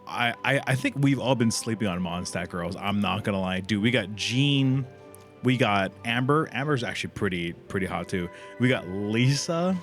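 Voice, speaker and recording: Low-voiced man streaming a game, slangy. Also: expressive, moderate pace. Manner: crude, slangy, swearing. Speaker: livestreamer playing a video game. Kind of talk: casual live game stream commentary. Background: game music.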